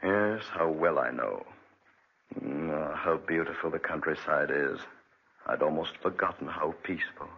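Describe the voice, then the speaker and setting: A man's voice: a bass voice, normal speed, creaky, slightly rough, exaggerated voice. An actor playing a guilt-ridden, bitter Englishman with a brooding, dramatic delivery in a 1940s radio drama. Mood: distracted, straining. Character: haunted, guilt-ridden Englishman, bitter former debtor prisoner. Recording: dull restored 1940s radio recording.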